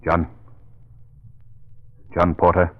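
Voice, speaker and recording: An actor playing a resolute, composed investigator and hypnotist, measured and theatrical, in old-time radio drama. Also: male voice, sits low, monotone. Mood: commanding. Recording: muffled vintage radio broadcast recording.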